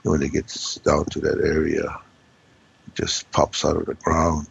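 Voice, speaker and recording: Man, hoarse froggy voice, rasping husky tone, rambling talk full of fillers, dull lo-fi sound. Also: very deep voice, monotone, unhurried. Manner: rambling, many ums and uhs. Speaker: regular guest. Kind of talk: casual conversation.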